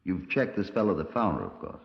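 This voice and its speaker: An actor playing a laconic, matter-of-fact British detective sergeant in a 1950s radio drama, speaking in clipped, understated phrases. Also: male voice, sits low, big pitch swings, brisk, gravelly, slightly rough, full character voice. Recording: dull, reverberant 1950s radio recording.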